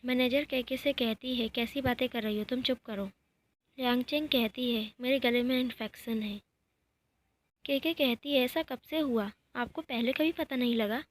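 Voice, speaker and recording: A woman narrating a recap of a romantic drama episode, reading every character's lines in her own plain, even voice. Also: a little high, normal speed.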